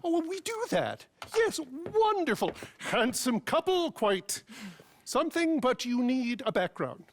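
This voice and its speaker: A fussy, effusive frontier photographer for a video game. He draws his words out slowly with theatrical, swooping pitch, and there is faint noise behind. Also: sing-song, heavily acted. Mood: effusive, salesmanlike. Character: effusive, fussy, flamboyant old-time portrait photographer.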